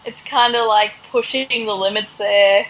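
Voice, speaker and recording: A woman's voice: medium pitch, steady tone, unhurried, fairly bright tone, lo-fi sound. A woman hosting a podcast, casually recapping a story in a sarcastic, exasperated way. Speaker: podcast host.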